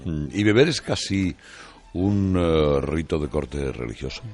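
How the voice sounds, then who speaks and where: An extremely deep-voiced man with an accent from Spain hosts an informal interview. His pitch moves around a lot as he puts questions together in a halting, hesitant way. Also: animated, a little mellow. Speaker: interviewer, host. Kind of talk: conversational interview.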